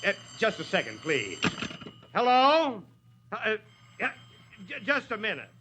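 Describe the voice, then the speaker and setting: An actor playing a stubborn, harried small-town mayor in a blustering 1940s radio drama style. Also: male voice, high voice, wildly swooping pitch, measured pace, heavily acted. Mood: flustered. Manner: blustering, exclamatory, stammering when flustered. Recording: noisy 1940s radio broadcast recording.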